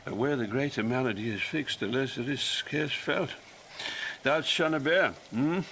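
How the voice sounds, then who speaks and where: A man's voice: medium pitch, moderate pace, sharp tone, slight background noise, low-quality recording. An actor playing a grief-stricken old king going mad, in theatrical, declamatory Shakespearean audio drama style. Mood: brooding. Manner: theatrical, declamatory, swinging from muttering to roaring.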